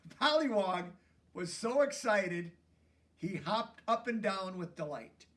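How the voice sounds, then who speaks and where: A man reading a children's story aloud as an expressive narrator. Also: sits high, unhurried, distant mic. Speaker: storybook reader.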